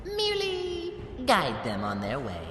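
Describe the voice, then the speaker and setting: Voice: mid-range voice, wildly swooping pitch, a little slow, fairly bright tone, slight background noise. A swooping, mocking otherworldly being. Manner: mocking, nonchalant, theatrical. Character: amused, condescending, detached alien being feeding on mental energy. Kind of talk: animated sci-fi parody voice acting. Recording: long echoing reverb with music behind.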